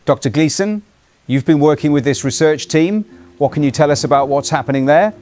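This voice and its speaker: A man's voice: on the high side, brisk, darkish tone, close to an everyday voice. A man playing a serious radio news anchor in an audio drama, delivering breaking news in a composed, formal, urgent way. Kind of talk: scripted news bulletin. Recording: light background ambience noise.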